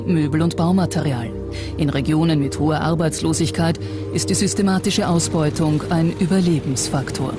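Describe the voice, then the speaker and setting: A woman reading scripted documentary narration as the narrator. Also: low-pitched. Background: music.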